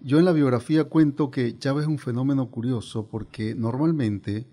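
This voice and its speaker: A man's voice: mid-range voice, normal speed, mellow. An older man with a Venezuelan accent, telling stories as a guest in a conversational interview. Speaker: interview guest, biographer and former politician.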